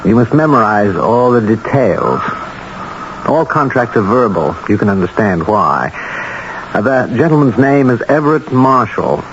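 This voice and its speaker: An actor playing a polite, businesslike broker of murder contracts in a 1970s radio drama, measured and coolly instructive. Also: male voice, lowish voice, sing-song. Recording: muffled vintage radio with faint noise.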